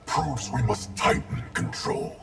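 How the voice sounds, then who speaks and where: An actor playing a cold, imperious ninja clan grandmaster in a video game, speaking in a terse, commanding and contemptuous way. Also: male voice, slow, close to an everyday voice. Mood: stern. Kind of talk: video game character dialogue. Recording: loud music behind the voice. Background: music.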